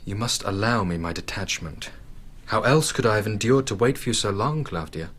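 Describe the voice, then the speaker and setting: Earnest bookish young man in a radio play, bit low n husky, polite, wistful, lovesick. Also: expressive, normal speed, a bit bright. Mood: pleading. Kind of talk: literary radio drama acting.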